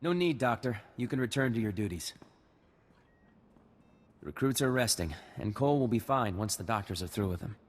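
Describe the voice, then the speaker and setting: A man voicing an earnest, respectful young militia recruit in a video game, with a husky voice. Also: medium pitch, animated, normal speed, pauses often, in a large hall, natural voice. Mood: respectful, grateful.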